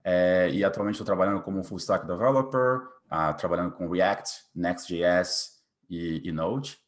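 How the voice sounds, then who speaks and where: A man's voice: low-pitched, normal speed. A man in his late twenties with a Bahian Brazilian accent, telling his story informally as an interview guest, often adding little tag questions. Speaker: interview guest, software developer. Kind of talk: casual interview, personal story.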